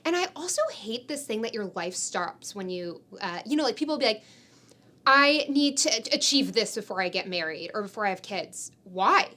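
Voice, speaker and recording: A woman's voice: medium pitch, expressive, normal speed, sharp tone. A woman, co-hosting a podcast in an emphatic, informal, opinionated way, often saying "like". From San Francisco Bay Area, United States. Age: 20s. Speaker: podcast co-host. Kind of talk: opinionated conversational podcast talk.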